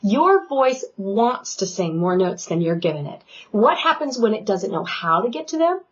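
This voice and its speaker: A woman vocal coach giving an online singing lesson, talking in a loud, animated and playful way. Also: mid-range voice, moderate pace. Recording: dull lo-fi sound.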